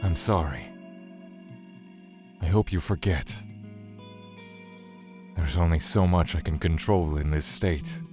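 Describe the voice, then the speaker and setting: Brooding deep-voiced immortal spirit. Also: male voice, darkish tone, faint music behind. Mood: solemn, weary. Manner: brooding, solemn, deliberate. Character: bitter immortal male spirit, grieving and vengeful. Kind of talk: dramatic audio drama monologue.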